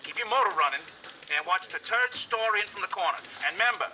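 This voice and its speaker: An actor with an American accent playing a halting, insistent underworld informant over a telephone in a radio crime drama. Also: male voice, high voice, animated, bright, forceful tone, muffled recording, slight background noise, full character voice. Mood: furtive, insistent. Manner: halting, repeats himself, insistent. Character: nervous, halting underworld tipster on the phone.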